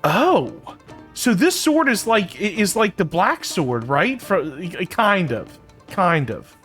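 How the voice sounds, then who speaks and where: A man's voice: high voice, very animated, slow, fairly bright tone, faint music behind. A man streaming a video game, chatting casually and informally, often repeating his phrases. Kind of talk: casual livestream commentary and chat.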